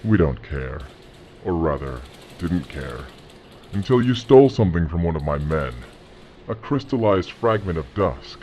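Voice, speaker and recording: An actor playing a calm, commanding, threatening gang leader interrogating someone in an audio drama, speaking slowly and deliberately. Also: male voice, low-pitched, a little hiss or hum. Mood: smug threat.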